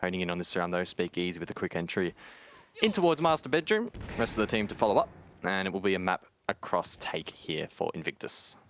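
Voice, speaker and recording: A male esports colour commentator with a somewhat low voice. He breaks down each round with dry wit and teases his partner. A faint hiss sits behind him. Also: sing-song, fairly quick, a bit bright. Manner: wry, teasing banter with co-caster. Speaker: esports analyst caster.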